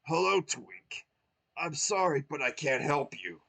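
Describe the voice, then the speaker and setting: A man's voice: on the high side, sing-song, a little slow, vocal fry, pushed and bright, breathy edge, voice pushed up, heavily acted. A man voicing a gloomy, grieving male plumber hero who speaks mournfully. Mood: glum.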